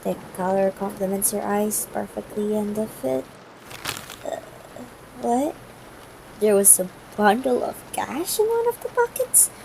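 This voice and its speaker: A woman playing a tender, yearning, gently commanding CEO ex-girlfriend in an intimate ASMR roleplay, soft-spoken and formal in wording, with hesitations. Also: mid-range voice, lively intonation, a little slow, creaky. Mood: flustered, admiring. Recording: noisy broken-microphone home recording.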